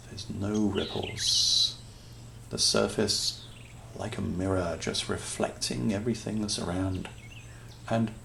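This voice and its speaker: A man with a low, heavily creaky voice guides a meditation very slowly. He speaks calmly and soothingly in short phrases with long pauses, over faint nature ambience and birdsong. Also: slight background noise. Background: natural sounds, birds.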